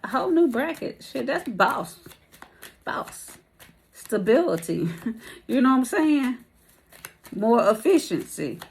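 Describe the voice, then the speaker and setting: A woman's voice: on the high side, sing-song, takes it slowly, creaky, pauses often. A woman giving a casual, rambling tarot reading to her viewers while losing her voice. She talks in slang and calls her listeners baby and y'all. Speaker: tarot reader.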